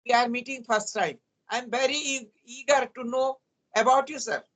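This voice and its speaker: A man's voice: very high-pitched, fairly even intonation, normal speed, fairly bright tone. A man from Uttarakhand with an Indian accent, a native Hindi speaker, talking politely and formally as the guest in an English practice chat. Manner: polite and formal, often says "sir".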